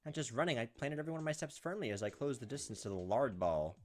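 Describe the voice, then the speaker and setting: A man's voice: medium pitch, expressive, quick pace. A man hosting a gameplay video, reading a visual novel aloud with theatrical, punchy delivery and joking commentary. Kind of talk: dramatic read-aloud narration mixed with joking commentary. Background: game music.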